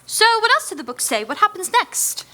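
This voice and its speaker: A woman's voice: extremely high pitch, sing-song, brisk, pushed and bright, full character voice. A woman playing a prim, proper young girl. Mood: prim, curious. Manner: prim, polite phrasing. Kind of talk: stage musical acting. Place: theater stage.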